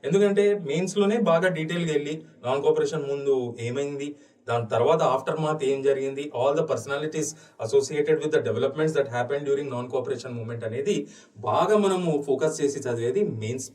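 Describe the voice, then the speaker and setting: A man from India with a Telugu accent lecturing as an exam coaching teacher, switching between Telugu and slow, deliberate English. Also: medium pitch, darkish tone. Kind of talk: lecture walking through exam questions. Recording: echoey room, distant mic.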